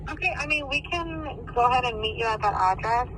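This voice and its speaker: High-voiced male call taker, noisy line. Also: expressive, a little fast, a bit bright. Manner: businesslike, checking details. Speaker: sheriff's department call taker. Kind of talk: procedural questioning on a phone call. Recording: noisy phone line with vehicle sounds.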